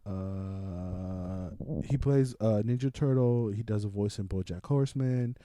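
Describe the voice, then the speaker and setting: A man bantering casually about films as a podcast host, blunt, excitable and foul-mouthed, repeating himself for emphasis. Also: fairly low pitch, a little slow, dry recording. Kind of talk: casual film review banter.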